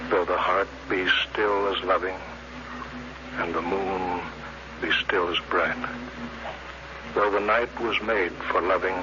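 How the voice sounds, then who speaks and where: An actor playing a resolute, burdened spaceship captain in a grave, terse 1950s radio drama style. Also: male voice, low-pitched, fairly even intonation, takes it slowly, pauses often. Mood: solemn, mournful. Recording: dull, noisy vintage radio recording.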